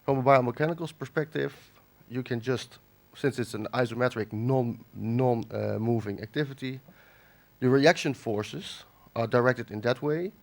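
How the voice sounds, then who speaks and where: A man from the Netherlands gives a conference lecture while nursing a cold. His delivery is halting, full of fillers and restarts, at a middling pitch. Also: vocal fry. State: has a cold. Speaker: conference speaker. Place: conference hall.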